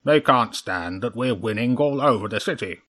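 A man's voice: medium pitch, animated, normal speed, fairly bright tone. A man voicing a stern, cold chairman crow in a children's audiobook, curt and authoritative. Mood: cool, questioning.

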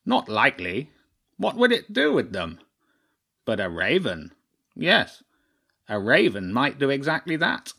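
Man voicing a pompous old crow chairman, swooping pitch. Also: huge pitch swings, a little slow, creaky, long pauses, sharp tone, husky, exaggerated voice. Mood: haughty, brusque. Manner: pompous, clipped, formal pronouncements. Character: old male crow chairman, stern, haughty and shrewd.

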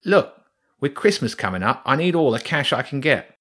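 A man's voice: mid-range voice, big pitch swings, normal speed, fairly bright tone, pitched above the performer's own voice. A man voicing a greedy, pushy male rat workman in a children's storybook, with a cocky, wheedling manner.

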